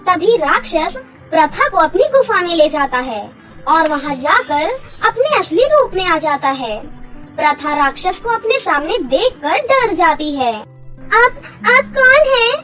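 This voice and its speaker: A woman's voice: very high voice, big pitch swings, measured pace, a bit bright, soft background music. A woman narrator reading a scripted children's fairy tale.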